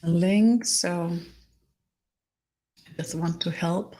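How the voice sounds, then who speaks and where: Slow-spoken woman with a Danish accent. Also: fairly low pitch, dark tone. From Denmark. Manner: slow, halting, searching for words. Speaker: energy healer. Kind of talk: live-streamed spiritual session.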